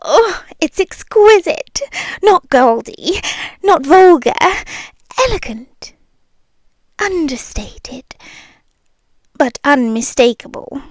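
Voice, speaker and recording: A young British woman voicing a calm, controlling rich girlfriend in an ASMR roleplay, speaking slowly and softly and often whispering close to the mic. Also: high voice, dry recording. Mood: possessive. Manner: slow, soft-spoken, often whispering close.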